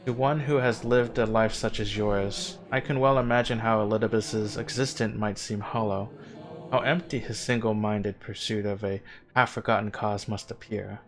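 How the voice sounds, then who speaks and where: An actor playing the courteous, wistful spirit of an ancient man in a video game cutscene, speaking in formal, measured, gently wry phrases. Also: fairly low pitch, steady tone, lightly acted. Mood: gently solemn. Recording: game dialogue with music underneath. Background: background music.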